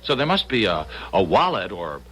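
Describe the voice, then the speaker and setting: A man's voice: low-pitched, very animated, moderate pace, fairly bright tone, breathy edge, full character voice. An actor playing an eager, easily flustered young husband in an old-time radio drama, sometimes hesitant in his delivery.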